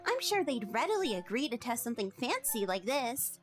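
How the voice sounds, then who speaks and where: Woman voicing a high, bossy little girl scientist. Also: big pitch swings, faint music behind. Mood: teasing. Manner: teasing, brisk, unfazed. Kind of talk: fan audio drama acting.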